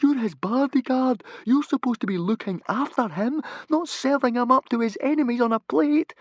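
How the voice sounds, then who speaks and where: Anxious hissing boy, as a narrator puts him on, pitched well up, storybook reading. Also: moderate pace, no echo, dull audio. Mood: urgent. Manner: urgent hissing, half-whispered. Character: anxious young boy, sensible and worried.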